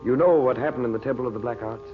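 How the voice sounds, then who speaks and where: An actor playing an earnest, troubled magician addressing his master gravely and respectfully in an old radio drama. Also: mid-range voice, rapid-fire, natural voice. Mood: troubled, pleading. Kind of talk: 1930s-40s radio drama acting. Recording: muffled old radio recording with music.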